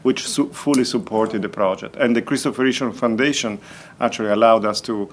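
A man's voice: mid-range voice, fairly quick, slight background noise. A man explaining conversationally as an interview guest, repeating words as he searches for his phrasing. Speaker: documentary filmmaker, interview guest.

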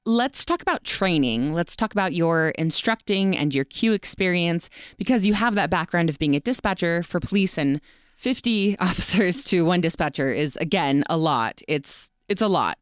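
A woman hosting a podcast in a conversational interview style, with a smooth, clear voice. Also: on the low side, lively intonation, normal speed, no echo, studio quality.

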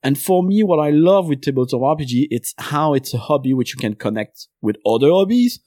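A man from Belgium with a Belgian French accent, speaking English as a second language in a relaxed interview. His voice is dark and mellow, and the recording is close and dry. He rambles at length, peppered with uh. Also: fairly high pitch, brisk, no room sound. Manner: talks at length, many uh fillers. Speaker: podcast host and game designer guest. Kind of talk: casual interview chat.

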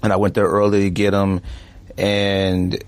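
A man co-hosting a podcast, telling stories in a casual, animated way and laughing easily. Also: deep voice, a little slow, no room sound. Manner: animated storyteller, laughs often. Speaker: podcast co-host. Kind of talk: casual chat.

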